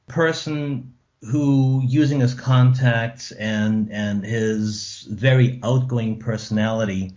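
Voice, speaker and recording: Slow-spoken German-born man, hesitant. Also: medium pitch, a little mellow, muffled recording. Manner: thoughtful, hesitant with many ums. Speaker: author and translator, guest. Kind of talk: radio interview.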